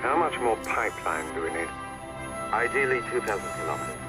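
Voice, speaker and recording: A man playing a cold, calculating criminal conspirator in a video game, measured and businesslike. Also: fairly low pitch, animated, lightly acted. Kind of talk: video game cutscene acting. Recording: distant voice under loud music.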